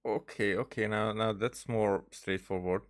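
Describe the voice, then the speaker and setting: A man giving casual gameplay commentary, thinking aloud in a halting way and often repeating words. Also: fairly low pitch, fairly even intonation. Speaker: game streamer.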